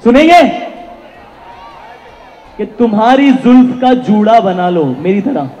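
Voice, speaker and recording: A man's voice: high-pitched, big pitch swings, rapid-fire, mellow, some chatter behind. A man with an Indian accent playfully reciting verse from a stage. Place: large hall at a promotional event. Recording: reverberant hall.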